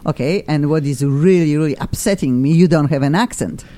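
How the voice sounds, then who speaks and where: A woman's voice: deep voice, a little fast. Effusive woman hosting a chat show. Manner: effusive, excitable, rambling. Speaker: talk show host.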